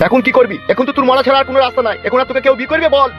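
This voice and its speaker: An indignant, shame-stricken village father put on by a male cartoon actor scolds and laments. His voice is high and very quick, recorded a little dully with music behind. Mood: wounded, scolding. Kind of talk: cartoon comedy-drama voice acting. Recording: dull audio with music behind.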